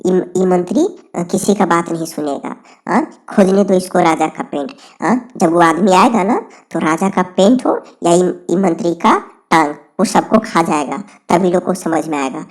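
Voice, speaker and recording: A woman voicing a frightened, grumbling dwarf in a Hindi cartoon, with halting, stammering speech. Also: medium pitch, lightly acted. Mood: indignant. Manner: rustic, pleading, repeats phrases.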